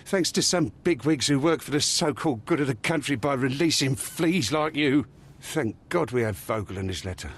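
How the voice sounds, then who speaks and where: A man's voice: medium pitch, animated, moderate pace, fairly bright tone. An actor playing a contemptuous male police officer with a sneering, scornful manner.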